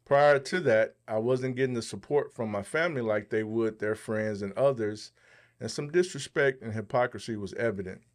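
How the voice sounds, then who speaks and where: A man's voice: medium pitch, normal speed. A man hosting a podcast, talking conversationally and giving advice. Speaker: podcast host.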